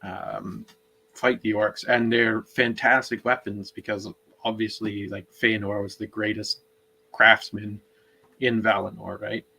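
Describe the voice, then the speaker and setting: Man, moderately low pitch, co-host, knowledgeable, explanatory, conversational book discussion. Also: level tone, unhurried, gravelly, pushed and bright, breathy edge, rough recording.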